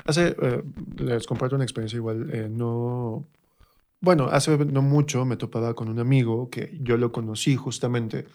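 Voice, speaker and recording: A man's voice: medium pitch, steady tone, moderate pace, darkish tone. Mexican man chatting in a casual interview. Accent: central Mexican. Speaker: radio guest, actor and theatre teacher.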